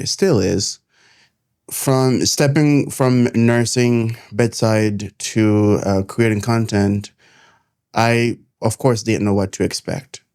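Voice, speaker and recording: A middle-aged man with a Haitian accent, telling his own life story to camera in an earnest, measured way, pausing between phrases. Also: lowish voice, a little mellow, clean tone. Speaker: nurse turned content creator.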